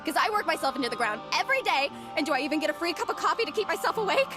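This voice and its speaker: An actress playing an overworked, exasperated young office worker in a video game, weary and pointed in delivery. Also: female voice, high voice, sing-song, rapid-fire. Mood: fed up, indignant. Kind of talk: dramatic video game voice acting. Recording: music playing behind the voice.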